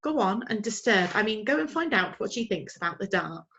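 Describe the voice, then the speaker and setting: A woman voicing a patient, tired mother barn owl who speaks in a calm, soothing but firm way. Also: mid-range voice, brisk, vocal fry, lo-fi sound.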